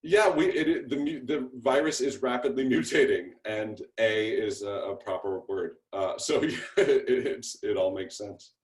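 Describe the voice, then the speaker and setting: Halting man in an echoey room. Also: medium pitch. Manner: halting, self-deprecating. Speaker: TV series creator introducing his cast. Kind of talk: casual spoken interview. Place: indoor room on a video call. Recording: echoey room over video call.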